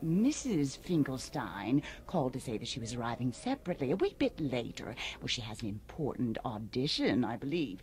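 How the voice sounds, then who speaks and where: An actress playing an imperious, gushing society hostess in a radio drama, with an affected, bossy manner. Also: female voice, extremely low pitch, darkish tone. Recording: faint background noise.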